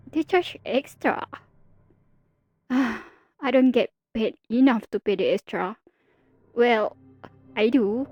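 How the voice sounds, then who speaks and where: High-voiced, teasing female supervillain, acted by a woman. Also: warm tone, muffled recording, heavily acted. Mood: mocking. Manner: sarcastic, drawling, mock-dramatic. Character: teasing, theatrical female supervillain, playful and world-weary. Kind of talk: ASMR roleplay audio drama.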